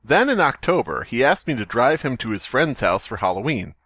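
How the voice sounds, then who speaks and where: A man's voice: medium pitch, sing-song, a little fast, a bit bright, husky, no room sound. A man co-hosting a podcast with casual, profane, sarcastic comedic banter. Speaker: podcast co-host.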